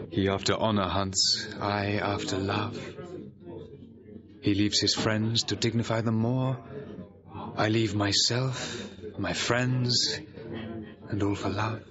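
An actor playing a lovesick, witty young gentleman in a Shakespearean audio drama, with a theatrical, declamatory delivery. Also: on the low side, level tone, slow pace, long pauses, dull audio, crowd noise. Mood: lovelorn, wistful.

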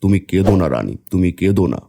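A man's voice: low voice, sing-song, fast talker, creaky, mellow, voice pushed down, exaggerated voice. A man voicing a tender, indulgent king in a fairy-tale cartoon, placating and stately. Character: doting king consoling his queen, tender, indulgent. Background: background music.

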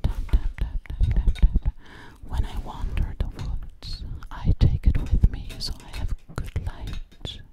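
A breathy whisper, very close to the mic, belongs to a sinister, vain witch acted out by a woman. She speaks very slowly in an ASMR roleplay, repeating words hypnotically and lingering on each one. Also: natural voice. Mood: playful, boastful. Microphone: close microphone.

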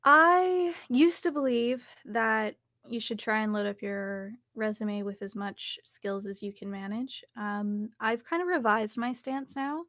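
A young adult woman speaking thoughtfully and haltingly as she answers interview questions. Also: on the high side, a bit bright, no echo, clean studio sound. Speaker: actor and audiobook narrator, guest. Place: home recording studio, video call.

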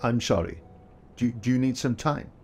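An actor playing a kindly, gentle photographer who speaks softly and thoughtfully. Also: male voice, medium pitch, normal speed, slight background noise, natural voice. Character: kindly adult male photographer, gentle and reflective. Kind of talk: audio drama acting.

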